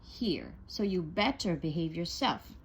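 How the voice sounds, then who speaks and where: A woman's voice: fairly low pitch, expressive, measured pace, slightly rough, voice pushed down, exaggerated voice. A woman voicing a proud, bullying male rooster who speaks fiercely and bossily in a read-aloud storybook. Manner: fierce, bossy.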